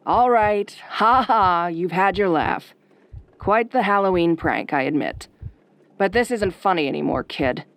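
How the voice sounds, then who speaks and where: A woman acting as a sardonic late-night radio DJ in a horror audio roleplay, soft-spoken and velvety with a dry, wry delivery. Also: lowish voice, measured pace, no echo. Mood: uneasy.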